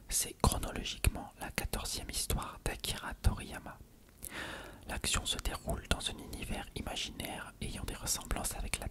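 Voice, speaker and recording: ASMR host, fully whispered, breathy and soft, for hushed encyclopedia-style reading.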